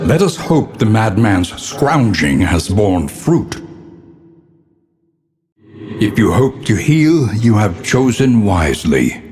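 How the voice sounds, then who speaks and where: World-weary older male game narrator, low-pitched, solemn and ominous, theatrical dark fantasy delivery, drenched in long reverb. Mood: solemn, resolute.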